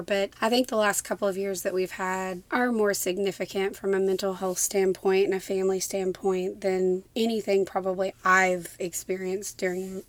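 A young woman, hoarse from laryngitis, chatting casually as podcast co-host and laughing often. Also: mid-range voice, dry recording. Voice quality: raspy. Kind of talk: casual chat. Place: small closet in a home.

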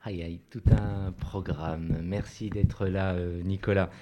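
French radio host, hesitant and chatty. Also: male voice, low voice, steady tone, slow pace, warm tone. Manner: hesitant, frequent "euh" fillers. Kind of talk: radio show introductions.

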